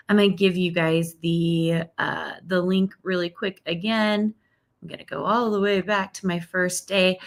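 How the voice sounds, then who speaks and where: A woman's voice: lowish voice, fairly bright tone. A middle-aged woman, a marketing coach, teaching live in an upbeat, chatty way, checking in with "okay?" and "right?", and saying she is losing her voice.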